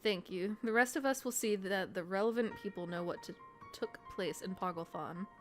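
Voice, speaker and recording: A woman voicing earnest fantasy game characters in a formal, courtly, measured manner. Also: mid-range voice, soft background music, natural voice. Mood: concerned.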